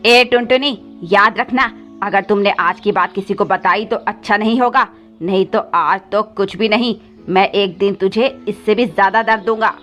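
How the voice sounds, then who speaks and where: A woman's voice: mid-range voice, normal speed, a bit bright, lightly acted. A woman voicing a cruel, scheming male crow stepfather with a sly, scolding manner in a cartoon moral story. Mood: threatening. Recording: slightly dull audio with background music.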